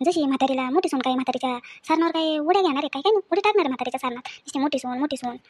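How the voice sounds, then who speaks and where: A woman acts out a village daughter-in-law with a very high voice and a rapid tongue. She complains and scolds in a colloquial rural Maharashtrian accent, full of household grievances, as in a family drama story. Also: a little mellow, a little hiss or hum, lightly acted. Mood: bitter grumbling. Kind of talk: acted family story dialogue.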